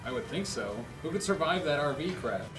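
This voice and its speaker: Male reader, comedic table read, raised pitch, animated intonation, roomy sound, faint music. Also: a little fast. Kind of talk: live comedic script reading. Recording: echoey, distant mic, faint music.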